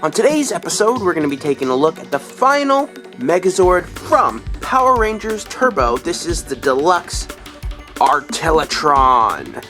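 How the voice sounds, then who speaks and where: A young man who has been sick, his voice deeper than usual, rambling through a casual toy review with lots of "uh"s. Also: big pitch swings, a little slow, pushed and bright, soft background music. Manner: rambling, chatty, lots of fillers.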